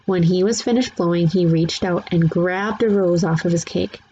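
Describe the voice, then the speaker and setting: A woman's voice: on the low side, moderate pace, warm tone. A woman narrating a children's book aloud as a scripted story, on a slightly dull, faintly noisy recording.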